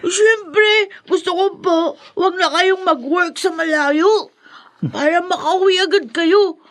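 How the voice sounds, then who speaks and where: A woman voicing an eager, excitable little boy pleading in a Filipino radio drama, with a Filipino accent. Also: very high-pitched, takes it slowly.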